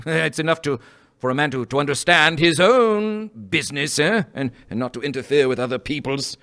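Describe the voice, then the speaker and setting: A man playing a grumbling, miserly old moneylender in a live radio play, gruff and scoffing. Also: a little high, expressive, moderate pace, bright, forceful tone, full character voice. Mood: scornful. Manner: gruff, scoffing, snapping out bits.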